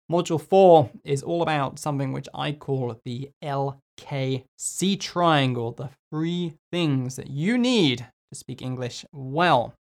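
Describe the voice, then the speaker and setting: Hoarse man hosting a solo podcast. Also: mid-range voice, darkish tone. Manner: chatty and musing, with asides and repeated words. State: hoarse, losing his voice. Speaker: podcast host and English teacher.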